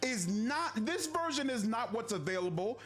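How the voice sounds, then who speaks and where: A man's voice: extremely high pitch, sing-song, moderate pace. A man giving video commentary on a game, indignant and emphatic, repeating himself for stress. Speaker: gaming commentator in a played clip.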